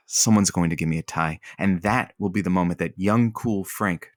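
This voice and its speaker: A man's voice: low-pitched, moderate pace, no room sound, natural voice. Casting: male actor as dryly witty radio producer, self-deprecating sidekick, radio comedy, close studio-dry sound. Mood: wry. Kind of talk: comedic radio drama acting.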